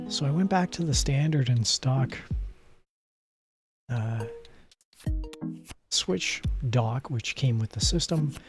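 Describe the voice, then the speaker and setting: A man streaming a card game, chatting casually in a soft, rambling, self-deprecating way with asides to the game. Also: mid-range voice. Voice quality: soft, not gravelly at the moment. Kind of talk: casual live-stream chat while playing.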